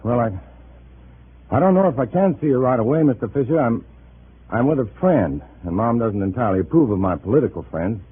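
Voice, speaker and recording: Undercover man, 1950s radio thriller: nervous, hesitant, very animated pitch, tinny vintage sound. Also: brisk, slightly rough. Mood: strained, falsely cheerful. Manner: hesitant, stammering under pressure. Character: nervous undercover agent posing as a loyal communist. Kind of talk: 1950s radio drama acting with first-person narration. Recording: tinny, noisy old radio recording.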